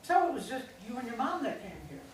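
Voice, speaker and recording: Heard from off in a very echoey room, a woman plays a middle-aged mother in a staged reading. She is fussy and placating, with brittle cheer and an animated, swooping voice. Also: on the high side, quick pace. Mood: pointed, sympathetic. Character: fussy, nervously cheerful family mother and hostess. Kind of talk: live staged play reading. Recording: very echoey room, distant mic, hiss.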